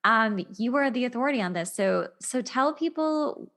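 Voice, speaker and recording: A woman's voice: fairly high pitch, animated, normal speed, a bit bright. Casual woman hosting a podcast. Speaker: podcast host. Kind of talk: casual conversational interview.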